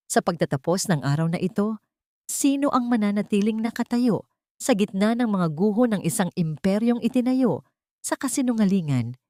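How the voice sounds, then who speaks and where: A woman's voice: on the low side, a little mellow, dry recording, studio quality. A woman narrating a scripted love story in a solemn, dramatic manner.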